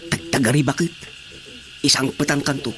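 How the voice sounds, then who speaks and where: Evasive husband played by a man, medium-pitched, easygoing in radio comedy, faint noise behind. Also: normal speed, fairly bright tone, lightly acted. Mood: casual, defensive. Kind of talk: comedy radio drama acting.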